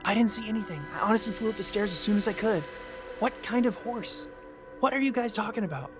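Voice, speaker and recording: A man's voice: high-pitched, fast talker, close to an everyday voice. An actor as a young male game master over music. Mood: alarmed. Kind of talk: naturalistic audio drama dialogue.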